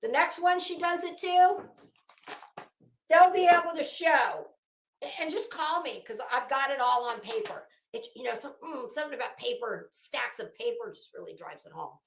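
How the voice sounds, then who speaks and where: A woman giving informal, opinionated commentary in an emphatic, scornful manner, swearing freely and pausing dramatically. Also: sits high, animated, creaky, roomy sound, a little hiss or hum, poor audio. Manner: emphatic, scornful, profane, dramatic pauses and repetition. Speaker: online commentator.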